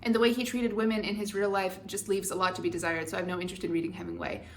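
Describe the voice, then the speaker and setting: A woman's voice: on the low side, little pitch movement, racing, gravelly, slight background noise. A young adult woman hosting a book channel, talking through a book tag in a candid, opinionated and wry way. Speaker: BookTube channel host. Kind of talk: casual book tag vlog.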